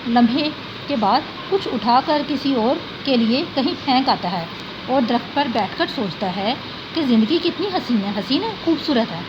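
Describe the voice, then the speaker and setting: Woman teacher, fairly high voice, reads a text line by line and explains hard words, dull lo-fi recording with steady noise behind. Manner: reads a sentence, then glosses hard words with "yani". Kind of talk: reading a text aloud and explaining words for students.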